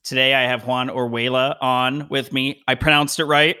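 Male podcast host, upbeat and chatty, bright forceful tone. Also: mid-range voice, fairly even intonation, normal speed. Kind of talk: casual interview chat.

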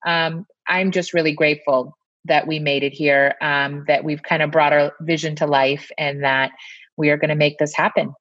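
A woman from India co-hosting a casual podcast, speaking in a measured way with frequent ums. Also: low-pitched, little pitch movement, no echo, lo-fi sound. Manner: warm, measured, many ums. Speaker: pediatrician podcast co-host. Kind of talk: casual conversational chat.

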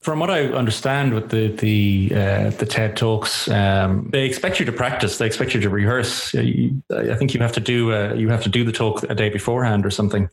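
A man's voice: on the low side, expressive, gravelly. A man hosting an interview, asking long questions with frequent hesitations and restarts. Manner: halting, many fillers and restarts while framing questions. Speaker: interview host. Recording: echoey room.

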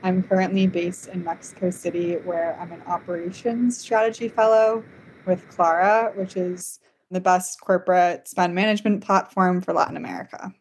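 A young woman from Switzerland, raised in the US, introducing herself clearly and composedly as an interview guest. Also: lowish voice, fairly even intonation, moderate pace, a little hiss or hum, poor audio. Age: young adult. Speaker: guest, fellow.

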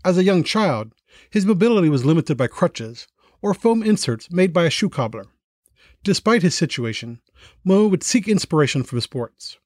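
American male podcast host, higher voice, halting question style, dry studio mic, light music bed. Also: big pitch swings, darkish tone, no room sound. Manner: hesitant, many fillers and restarts in questions. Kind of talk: scripted intro, then casual interview.

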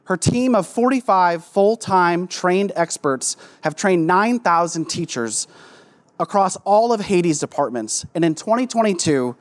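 A man's voice: high-pitched, lively intonation, unhurried. A man hosting a summit, reading an upbeat, scripted introduction of the keynote speaker. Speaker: summit host. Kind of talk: formal introduction.

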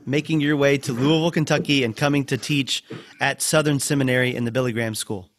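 A man hosting a podcast interview, introducing his guest and asking questions in a warm, friendly way. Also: mid-range voice, steady tone, fast talker. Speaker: podcast host.

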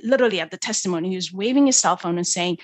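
A woman from Ethiopia, a guest on a podcast interview, talking policy in an animated way with lots of fillers like "you know" and "like". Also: on the low side, rapid-fire, no room sound. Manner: animated, thinks aloud with many fillers. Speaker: guest, energy policy expert.

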